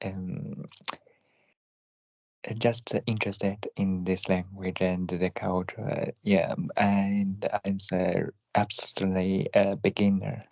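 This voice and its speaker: A very low, flat-voiced man speaks slowly and haltingly, like a shy beginner. The audio is dry and a little muffled, as on a video call. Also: vocal fry, dry recording. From Germany. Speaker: student.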